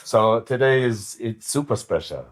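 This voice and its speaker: A man's voice: mid-range voice, lively intonation, a little slow. A man co-hosting a podcast, full of playful banter. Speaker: podcast co-host. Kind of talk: casual podcast chat.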